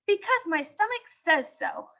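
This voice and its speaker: A woman's voice: extremely high pitch, very animated, unhurried, sharp tone, pitched above the performer's own voice, exaggerated voice. A woman voicing an earnest, precise schoolboy detective in a storybook, in a serious, matter-of-fact way. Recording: dull, lo-fi sound.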